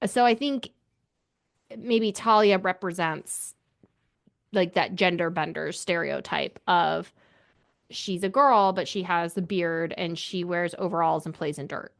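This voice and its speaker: A woman's voice: fairly low pitch, measured pace, vocal fry. Casual, opinionated woman co-hosting a podcast. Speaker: book club podcast co-host. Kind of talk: casual book discussion chat.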